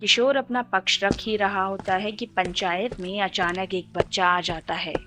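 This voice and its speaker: A woman's voice: mid-range voice, fairly even intonation, moderate pace, over music. A woman narrator reading a scripted moral story.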